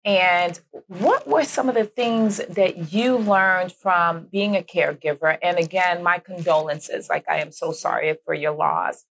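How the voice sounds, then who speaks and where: An enthusiastic woman hosting a podcast, her voice sitting a little low. She is effusive and quick to affirm, and she often circles back to repeat her own phrases while asking questions. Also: a bit bright. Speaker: podcast host. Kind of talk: warm-up intro and interview questions.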